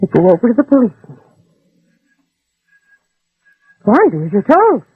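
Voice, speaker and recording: A woman's voice: a little high, wildly swooping pitch, racing, dry recording, narrow, tinny audio, exaggerated voice. An actress playing a brave, protective mother in a 1960s radio drama, pleading earnestly and persuasively with an armed man. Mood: firm, urgent. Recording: old band-limited radio recording, husky, dry.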